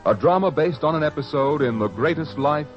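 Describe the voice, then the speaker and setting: A man narrating a 1940s radio drama in a dramatic, measured announcer style. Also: on the high side, lively intonation. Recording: old lo-fi radio recording with music.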